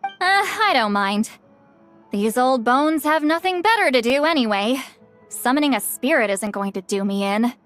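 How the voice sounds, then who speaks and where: A woman's voice: high-pitched, lively intonation, moderate pace, fairly bright tone, faint music behind. A woman voicing a playful, proud, youthful-sounding game character who styles herself as a granny, with a breezy, boastful manner. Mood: wry, insistent. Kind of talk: video game voice acting.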